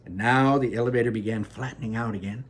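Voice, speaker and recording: A man reading a children's book aloud in a lively, expressive way, adding asides of his own. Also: medium pitch, fast talker, husky. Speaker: reader.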